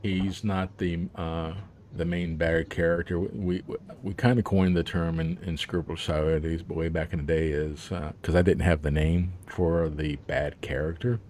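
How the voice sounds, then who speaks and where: Deep-voiced man chatting loosely. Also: little pitch movement, moderate pace, a little mellow, a little hiss or hum. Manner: rambling, with frequent fillers and laughs. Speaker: author. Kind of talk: casual interview chat.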